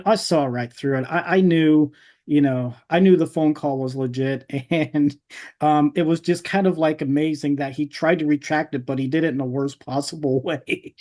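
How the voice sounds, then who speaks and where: A man's voice: a little high, a little mellow. A man hosting a podcast, talking informally and casually with his guest. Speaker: podcast host. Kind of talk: casual podcast interview chat.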